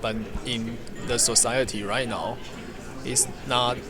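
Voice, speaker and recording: A man's voice: mid-range voice, level tone, takes it slowly, over loud music. A man giving a hesitant, carefully phrased street-interview answer. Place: outdoors in a city. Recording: outdoors with a crowd behind.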